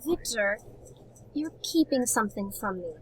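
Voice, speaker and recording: A woman's voice: on the high side, expressive, a little slow, a little hiss or hum, low-quality recording. A woman playing an affectionate, worried young bride in a radio play, tender and theatrical. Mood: tender concern. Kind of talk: amateur radio-play style acting.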